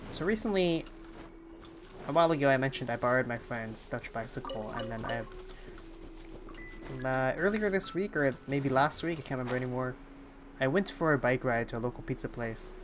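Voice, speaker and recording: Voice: mid-range voice, expressive, brisk, long pauses, noisy background. A streamer chatting casually during a game livestream in a rambling, informal way.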